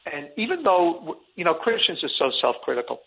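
A man's voice: a little high, very animated, fairly quick, creaky, husky. A middle-aged man speaking as an interview guest, earnest and rambling, restarting his sentences often. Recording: tinny phone line.

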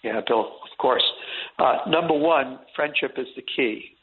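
A man's voice: mid-range voice, unhurried, slight background noise. A middle-aged man speaking as an interview guest, earnest and rambling, restarting his sentences often. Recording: tinny phone line.

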